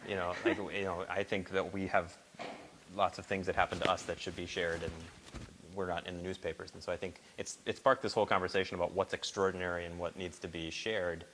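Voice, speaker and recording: A man casually introducing songs at a live barn performance, halting and informal as he thinks aloud. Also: sits low, flat delivery. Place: barn, live before a small audience. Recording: live barn recording with noticeable hiss.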